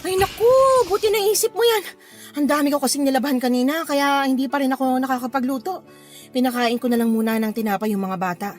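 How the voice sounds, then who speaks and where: High-voiced housewife, played by a woman. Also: quick pace, soft background music, close to an everyday voice. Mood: caring, curious. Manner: expressive, homely, questioning. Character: caring, inquisitive housewife and tired mother. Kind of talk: Filipino radio drama acting.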